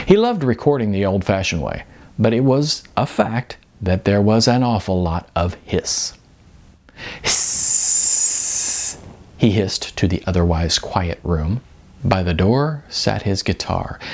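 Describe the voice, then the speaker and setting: A man narrates fiction as an audiobook with a low voice and frequent fry. His delivery is lively in pitch, conversational and wry.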